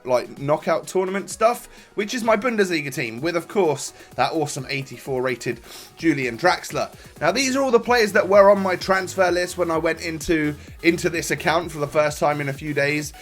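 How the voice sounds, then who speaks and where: A young man with a stuffy, congested voice, giving rambling casual commentary over his own football gameplay. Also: on the high side. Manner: rambling, relaxed, frequent fillers and restarts. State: congested from a cold. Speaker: gaming YouTuber. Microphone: desk microphone.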